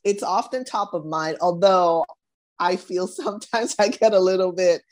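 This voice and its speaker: Woman from the Bahamas, middle-aged, low voice, thoughtful, hesitant. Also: moderate pace. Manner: thoughtful, hesitant, many restarts. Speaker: guest. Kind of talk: casual interview conversation.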